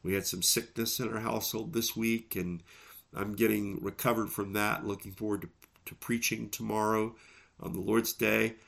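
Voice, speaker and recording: A man hosting a solo podcast on Bible texts, his voice gravelly from a recent illness, speaking in an earnest, measured way with emphasis on key words. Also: fairly low pitch, level tone, fairly bright tone. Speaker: podcast host and preacher.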